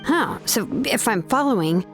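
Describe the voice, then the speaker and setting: A woman's voice: on the high side, lively intonation, normal speed, faint music behind. A woman playing a frank, easygoing young tribeswoman in a fantasy video game, talking casually and conversationally. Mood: casual, musing.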